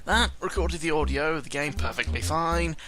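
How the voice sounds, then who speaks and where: A man's voice: fairly high pitch, normal speed. A man giving a casual, rambling, sarcastic and sweary chat as a YouTuber, often stumbling over his words, speaking into a close microphone. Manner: self-deprecating, sarcastic, sweary. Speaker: YouTuber recording an audio log. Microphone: close microphone with pop filter. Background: background music.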